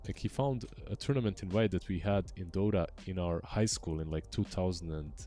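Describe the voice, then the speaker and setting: Low-voiced young male esports caster. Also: fairly quick, soft background music. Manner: relaxed, chatty, trails off often. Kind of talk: casual esports commentary.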